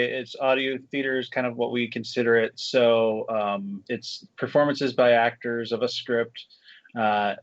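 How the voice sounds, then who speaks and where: A man being interviewed as a guest screenwriter and director, answering in a relaxed way with frequent ums and restarts. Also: mid-range voice, no echo, lo-fi sound. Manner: relaxed, many fillers and restarts.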